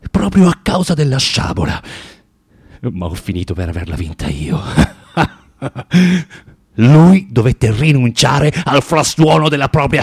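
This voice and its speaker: Spiteful tormented clerk around 40, husky, swings from hushed to loud, radio drama monologue, very dry close sound. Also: male voice, medium pitch, dry recording. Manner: confessional, emphatic, halting with dramatic pauses, swinging from hushed to loud. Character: bitter, spiteful, tormented forty-year-old male former clerk. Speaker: actor.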